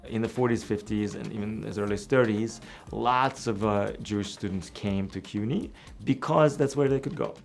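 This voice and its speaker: A man's voice: lowish voice, normal speed, vocal fry, hardly any pauses, soft background music. An older man speaking earnestly about bringing communities together.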